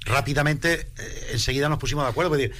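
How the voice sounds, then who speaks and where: A man's voice: fairly high pitch, quick pace, bright, forceful tone, a little hiss or hum. A man from Spain with a Spanish accent, a singer giving a casual radio interview in an emphatic way, repeating his phrases. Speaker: singer in a rumba band.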